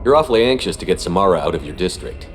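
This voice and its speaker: Blunt male soldier, low voice, quick delivery, self-assured, game dialogue in a reverberant space. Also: big pitch swings. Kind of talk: video game voice acting.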